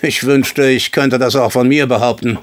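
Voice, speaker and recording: An actor playing a commanding, sardonic colonel and racehorse owner in a German audio drama. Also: male voice, mid-range voice, steady tone, normal speed, dry recording, lightly acted.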